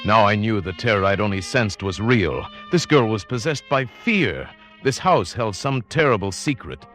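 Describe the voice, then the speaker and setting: A man's voice: lowish voice, expressive, moderate pace, fairly bright tone. An actor playing a guarded, solitary yachtsman who narrates his adventure in an old-time radio drama, firm and protective. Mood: tense narration. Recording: old radio recording, music underneath.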